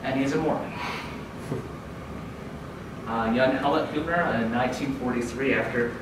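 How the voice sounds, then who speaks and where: A man lecturing from the front of a dark conference room. The echoey, roomy sound comes from a camera far across the room, with steady background noise. His voice climbs and gets louder whenever he reads a quote aloud. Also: normal speed. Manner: raises pitch and volume when reading quotes aloud. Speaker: keynote speaker.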